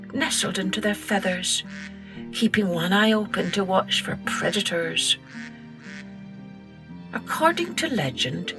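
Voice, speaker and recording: Slow, expressive woman storyteller. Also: mid-range voice. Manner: expressive, gentle, slow and clear. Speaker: podcast host and storyteller. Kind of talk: storytelling for young children.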